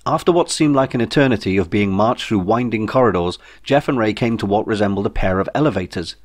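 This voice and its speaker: A man's voice: lowish voice, expressive, fast talker. A man narrating a comic science fiction audiobook in a wry, dry manner. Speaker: author reading own audiobook.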